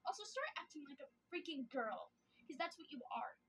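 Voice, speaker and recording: A woman voicing a cruel male villain who shouts and sneers insults in a storybook reading. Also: high voice, big pitch swings, a little fast, slight background noise. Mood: contemptuous.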